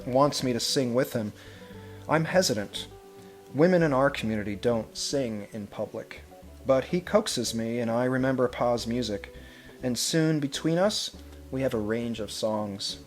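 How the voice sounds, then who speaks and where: A man's voice: medium pitch, darkish tone. Man reading a storybook aloud over music. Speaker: head of school reading a picture book to children. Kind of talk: storybook read-aloud with brief asides.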